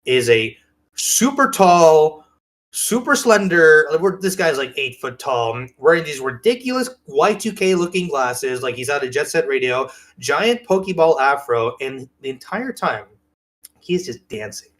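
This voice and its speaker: Higher-pitched man chatting enthusiastically. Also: very animated, a little slow, a bit bright. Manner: enthusiastic, rambling storyteller. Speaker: podcast co-host. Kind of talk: casual chat.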